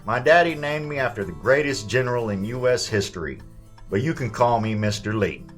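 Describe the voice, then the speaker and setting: A man's voice: medium pitch, animated, a little fast, soft background music, natural voice. A man playing a stern, commanding gang boss in an audio drama, with a folksy, domineering way of talking. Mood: probing, folksy.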